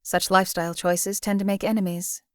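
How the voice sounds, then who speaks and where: A woman voicing a cold, detached female assassin in a game, speaking in a measured, formal, matter-of-fact way. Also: medium pitch, level tone, smooth, dry recording, clean studio sound, close to an everyday voice. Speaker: game companion character. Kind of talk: voiced game companion lines.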